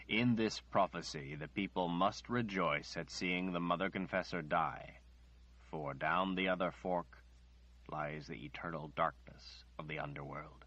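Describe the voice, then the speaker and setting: A man's voice: sits low, sharp tone, full character voice. A man voicing an older male prophet, solemn, sad and unbending. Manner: grave and solemn. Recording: dull lo-fi recording.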